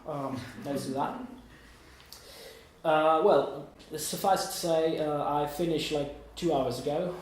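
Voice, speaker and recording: A man's voice: a little high, slow pace. A man in his twenties from Germany and Italy gives an informal live presentation in a hesitant, rambling, self-deprecating way. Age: 20s. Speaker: student presenter giving a talk. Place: indoor room at a small meetup. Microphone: distant room microphone. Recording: echoey room, distant mic, background noise.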